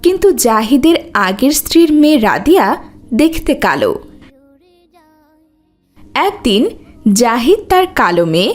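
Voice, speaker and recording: A woman's voice: a little high, sing-song, measured pace, long pauses. A woman narrator reading a scripted cartoon story.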